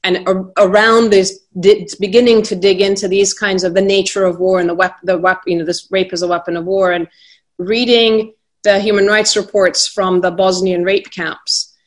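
A woman's voice: mid-range voice, level tone, normal speed. Hesitant woman moderating a panel. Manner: hesitant, many restarts. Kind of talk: panel discussion.

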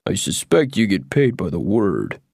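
A man's voice: on the low side, huge pitch swings, moderate pace, vocal fry, darkish tone, breathy edge, dry recording, voice pushed down, exaggerated voice. A man voicing a wry, worldly munchkin rogue with a dry, sardonic manner.

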